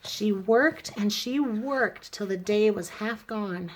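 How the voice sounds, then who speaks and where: A woman reading a rhyming children's story aloud in an expressive narrating style. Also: mid-range voice, slow, rough recording. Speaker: storybook reader.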